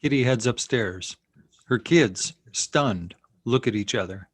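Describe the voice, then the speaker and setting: A man with a mid-pitched voice reads stage directions from a screenplay. The sound is close and dry, and the delivery is scripted narration. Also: slow, no room sound.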